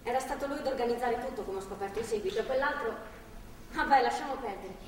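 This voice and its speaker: Stubborn, bitter young woman held captive actress in the role; high, animated stage voice in a reverberant hall. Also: fast, lightly acted. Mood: rueful, candid. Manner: blunt, coarse, emphatic. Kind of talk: live stage drama dialogue. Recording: distant echoey theatre hall, faint noise.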